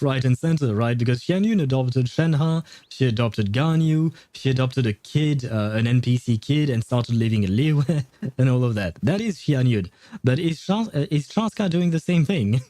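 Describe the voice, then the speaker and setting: A man's voice: medium pitch, mellow, no room sound. A man livestreaming a game, chatting casually with viewers in a rambling, hesitant way. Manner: rambling, hesitant, chuckles often. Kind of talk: casual chat with viewers while gaming. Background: faint game music.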